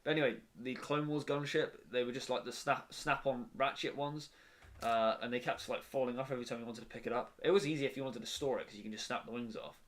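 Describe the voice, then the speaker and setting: A man's voice: mid-range voice, quick pace. A young man chatting casually on a live stream from his bedroom while he builds, rambling with lots of fillers and restarts.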